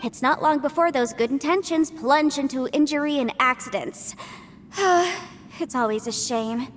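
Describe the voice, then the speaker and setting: A woman voicing an earnest, polite young female legal advisor in a video game, measured and articulate. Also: sits high, a little hiss or hum. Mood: troubled, reflective. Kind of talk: English video game voice acting. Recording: faint music behind the voice.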